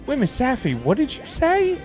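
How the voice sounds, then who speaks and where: A man's voice: extremely high pitch, wildly swooping pitch, fast talker, a little mellow, soft background music. A man hosting a casual livestream, talking to viewers in a rambling, stop-start way and often repeating himself. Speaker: livestream host. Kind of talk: casual livestream chat, reading and answering viewer messages.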